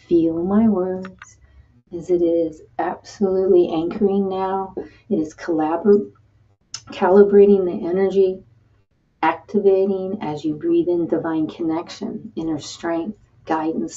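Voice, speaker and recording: A woman leading a guided healing meditation, talking slowly and soothingly with repeated cues to breathe. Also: fairly low pitch, vocal fry, mellow, distant mic. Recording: dull lo-fi sound, voice set back from the mic.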